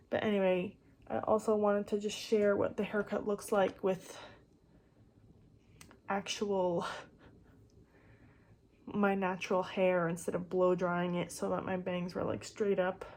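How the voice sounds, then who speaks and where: Rambling young woman vlogger, casual and slightly raspy. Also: mid-range voice, a little mellow. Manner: rambling, filler-heavy with frequent "like". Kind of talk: casual impromptu chat to camera. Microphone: phone camera.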